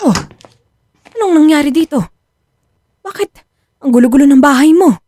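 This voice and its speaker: An actress playing a conflicted wife torn between family and lover in a radio drama. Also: female voice, high voice, animated, normal speed, mellow, slight background noise. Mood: concerned.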